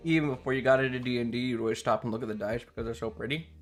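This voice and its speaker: A man's voice: mid-range voice, fairly even intonation, rapid-fire. Casual rambling male streamer over guitar music. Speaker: live streamer. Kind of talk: casual livestream chat with viewers.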